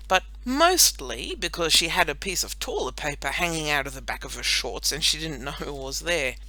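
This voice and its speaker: Bright, animated woman narrating. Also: deep voice, poor audio. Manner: expressive, animated storytelling. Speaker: audiobook narrator. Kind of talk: scripted children's story narration.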